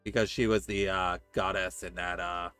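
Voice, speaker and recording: A man streaming a game, giving casual commentary with joking, deadpan asides. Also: low voice, moderate pace, clear, resonant tone, faint music behind.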